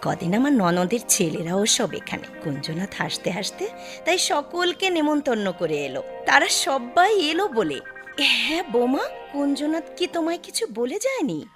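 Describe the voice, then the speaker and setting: An actress playing an elderly, doting village mother in a radio drama, plaintive and halting. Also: high voice, very animated. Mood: kindly, chatty. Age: older. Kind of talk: Bengali radio drama acting. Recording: music behind the voice.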